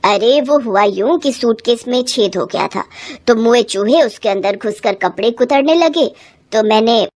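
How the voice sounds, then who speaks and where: A woman's voice: a little high, lively intonation, a little slow, a little mellow. A woman voicing a stingy, fussy older mother-in-law in a cartoon story, grumbling and full of complaining exclamations.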